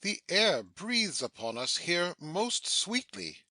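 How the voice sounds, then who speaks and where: A pleasant courtier in a Shakespeare play, voiced by a man. His tone is strikingly bright and rather high for a man, recorded dry. He phrases things in an earnest, measured way. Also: big pitch swings, gravelly, no room sound, full character voice. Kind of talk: dramatic Shakespeare audiobook reading.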